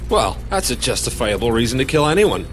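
A man playing a gruff, impatient, sarcastic police detective in an audio horror drama, blunt and scornful. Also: mid-range voice, very animated, a little fast, soft background music, natural voice.

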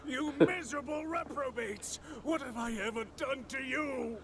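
Cartoon parody villain: pompous old android scientist, high-pitched, crackly, indignant. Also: male voice, normal speed. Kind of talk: animated parody dub. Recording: noisy, reaction talk over parody audio.

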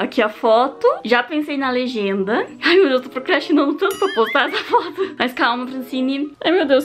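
High-voiced young woman, chatty vlogger. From Brazil. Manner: chatty, addresses viewers as friends. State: losing her voice, tired. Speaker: YouTuber. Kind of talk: casual vlog chat to camera. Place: bedroom, indoors. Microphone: handheld camera close to face.

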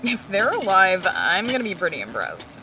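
A deadpan, sarcastic teen. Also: female voice, mid-range voice, moderate pace, a little hiss or hum, full character voice, low-quality recording. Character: student Janet, dry and sarcastic teenage girl. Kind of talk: audio drama acting.

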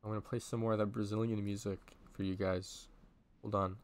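A man's voice: on the low side, flat delivery, brisk. A man with a sick, strained voice casually commentating a livestreamed game match in an informal, rambling, sweary way. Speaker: esports commentator, livestream host.

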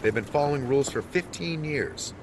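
An actor playing a nervous, deferential ship's officer in an audio drama, stammering and placating. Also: male voice, fairly high pitch, brisk, slight background noise, natural voice. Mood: flustered.

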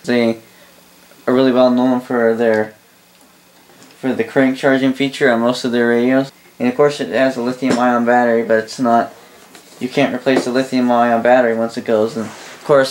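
Guy doing a casual gear review, pretty monotone, rambles and backtracks a lot. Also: medium pitch, slight background noise, poor audio. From Canada. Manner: rambling, halting, self-correcting. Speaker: host of a radio gear review channel. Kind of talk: casual unscripted product review. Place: indoor room full of radio gear.

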